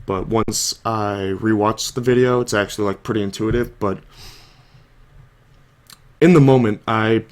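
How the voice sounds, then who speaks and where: A young man with a fairly low voice, a bit hoarse from a sore throat he is coming down with. He talks through a card game match in a loose, casual way, thinking aloud and leaning on fillers like "like" and "you know". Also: unhurried, long pauses. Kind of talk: casual video commentary.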